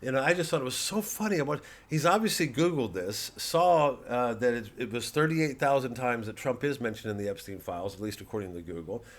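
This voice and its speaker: A man giving a rambling, digressive comedian's monologue, halting and sardonic, peppered with casual filler phrases. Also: mid-range voice, lively intonation. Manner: sardonic, mocking, profane when worked up. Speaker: comedian promoting his show.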